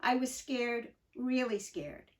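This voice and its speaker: An older woman reading her own memoir aloud in an earnest, reflective way, pausing for emphasis and adding unscripted asides. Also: on the high side. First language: English. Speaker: memoir narrator.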